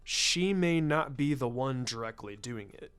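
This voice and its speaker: A man voicing a shrewd, laid-back intelligence chief in a story reading, with a careful, deliberate way of speaking. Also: animated, fairly bright tone, clear, resonant tone. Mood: analytical. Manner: careful, deliberate, low-key. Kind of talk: fan fiction story reading. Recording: music under the voice.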